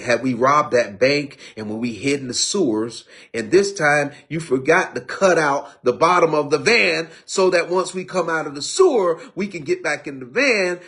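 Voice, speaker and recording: A man's voice: mid-range voice, fairly bright tone. A man in his fifties giving a streetwise personal testimony, preachy in tone and full of restarts and repeated words. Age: 50s. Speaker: recovery speaker.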